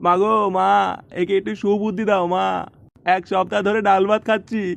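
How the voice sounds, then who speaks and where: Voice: medium pitch, level tone, brisk, gravelly, no echo, natural voice. A man playing a miserly, melodramatic father in a Bengali comedy cartoon, grumbling in an exasperated, sarcastic way. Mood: exasperated, complaining. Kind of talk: comic cartoon dubbing in colloquial Bengali.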